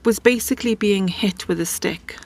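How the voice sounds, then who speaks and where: A woman's voice: mid-range voice, moderate pace. Chatty woman telling a story. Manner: chatty, conversational, with asides. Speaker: host. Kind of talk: casual storytelling narration.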